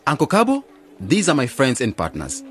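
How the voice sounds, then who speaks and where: A man's voice: mid-range voice, wildly swooping pitch, moderate pace, some chatter behind. An actor playing an earnest, idealistic young man returning to his village in a radio drama, speaking with emphasis. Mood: conciliatory. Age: young adult.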